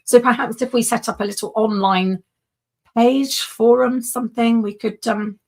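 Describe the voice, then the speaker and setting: Hoarse woman co-hosting a Q&A. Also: on the high side, steady tone, normal speed. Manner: warm, agreeable, often laughs and affirms. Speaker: co-host reading audience questions. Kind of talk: casual conversational Q&A.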